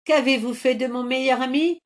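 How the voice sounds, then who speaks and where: A woman's voice: fairly low pitch, very animated, measured pace, darkish tone. A woman voicing a brave young rooster boy, giving bold, rousing calls. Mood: fierce.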